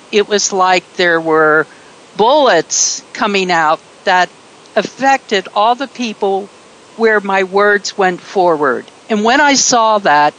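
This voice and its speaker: A middle-aged woman in a reflective conversation, talking thoughtfully with frequent pauses and fillers. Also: medium pitch, a bit bright, low-quality recording. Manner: slow, hesitant, with many fillers.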